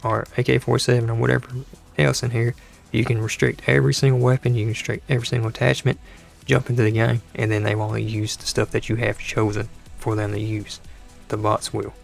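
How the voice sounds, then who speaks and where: A man hosting a gaming channel, talking casually over gameplay with a dry throat and a hoarse voice, rambling and exasperated, often restarting his sentences. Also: mid-range voice, little pitch movement, brisk, soft background music. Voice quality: gravelly, creaky voice.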